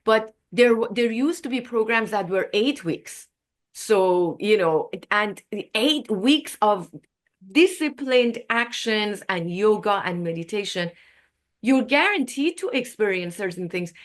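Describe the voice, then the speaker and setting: A woman's voice: mid-range voice, lively intonation, unhurried. A woman, a Farsi speaker, talking as a guest in a casual interview. She explains things earnestly, with many fillers and restarts. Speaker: guest, yoga and Ayurveda practitioner.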